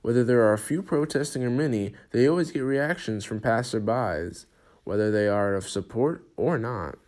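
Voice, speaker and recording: A man's voice: medium pitch, normal speed, darkish tone. Male news reporter, scripted delivery.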